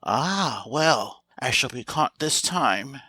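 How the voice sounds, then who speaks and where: A man's voice: mid-range voice, animated, slow, bright, forceful tone, slightly rough, no echo, full character voice. A man voicing an anxious, self-pitying female hen from a fairy tale, lamenting in a plaintive, dramatic storybook manner. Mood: resigned worry. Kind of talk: storybook character voice.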